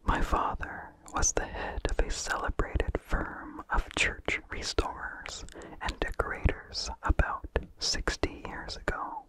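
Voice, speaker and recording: An ASMR host reading in a breathy, unvoiced whisper, slowly and calmly, with careful enunciation. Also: poor audio. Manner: slow, calm, careful enunciation. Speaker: ASMR host and story reader.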